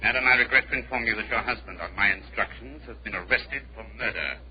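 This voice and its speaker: An actor playing a cold, formal official delivering grim news in old radio drama style. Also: male voice, lowish voice, fast talker, close to an everyday voice. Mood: cold, regretful. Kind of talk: 1940s radio acting. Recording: dull old radio recording.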